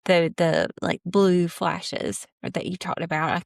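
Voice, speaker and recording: Female interviewer, lowish pitch, dry sound, informal and halting with repeated phrases. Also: animated, gravelly, no room sound. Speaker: host. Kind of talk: casual conversational interview.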